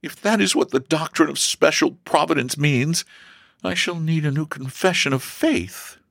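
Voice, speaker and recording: A man's voice: on the high side, sing-song, normal speed, a bit bright, dry recording, studio quality, pitched above the performer's own voice. A man voicing an agitated, dramatic and nervous young woman in a storybook reading. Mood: flustered dismay.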